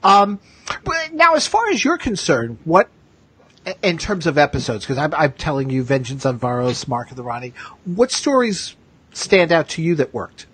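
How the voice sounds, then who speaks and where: A man hosting a podcast in casual chat, enthusiastic, halting and circling back over his points. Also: on the high side, sing-song, fairly bright tone, breathy edge, no echo. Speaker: podcast host.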